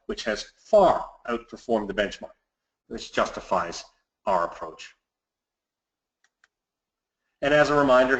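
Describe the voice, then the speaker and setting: Guy doing a scripted finance webinar update, financial advisor type, mid pitch, pretty echoey room. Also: a bit bright. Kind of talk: scripted market commentary presentation. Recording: roomy, echoey sound.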